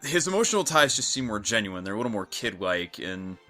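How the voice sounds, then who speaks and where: Bright-voiced man, lowish, chatting in commentary. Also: very animated, fairly quick, faint music behind. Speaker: co-commentator, dub voice actor and video editor. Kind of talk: casual audio commentary over a fan dub.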